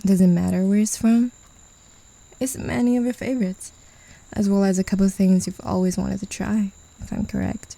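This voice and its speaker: A woman's voice: medium pitch, steady tone, moderate pace, creaky, mellow, no room sound. A woman voicing a possessive female demon in an ASMR roleplay, talking down to her human pet in a teasing, condescending way, often whispering. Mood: domineering. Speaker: voice actor.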